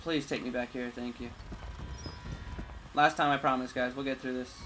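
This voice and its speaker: A man's voice: medium pitch, steady tone, normal speed, music in the background, rough recording. A man doing informal, slangy, off-the-cuff let's-play commentary for his gaming channel. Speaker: gaming YouTuber. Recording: game sounds clearly behind voice. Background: video game combat and ambience.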